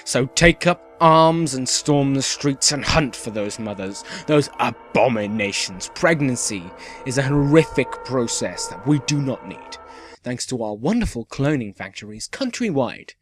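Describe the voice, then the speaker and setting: Music plays under a dystopian radio host, acted by a man, a medium-pitched male announcer for an eerie radio play. He proclaims state propaganda with theatrical cheer and a sinister, menacing undertone. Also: animated, a little slow, close to an everyday voice. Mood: chipper, mocking. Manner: theatrical, dramatic, swinging from grand proclamation to hushed menace. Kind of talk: scripted Night Vale-style radio play narration.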